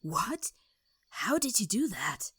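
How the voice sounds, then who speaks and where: A woman playing a ruthless, determined bandit in an audio drama, hushed and intent. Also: medium pitch, sing-song, slow pace, husky, full character voice. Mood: shocked.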